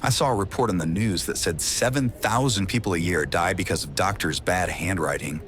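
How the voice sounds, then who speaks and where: A laid-back high school senior boy , played by a man, talks in a very deep voice. He sounds casual and unbothered in scripted comic dub dialogue, with faint noise behind him. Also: fairly quick, fairly bright tone. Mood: nonchalant.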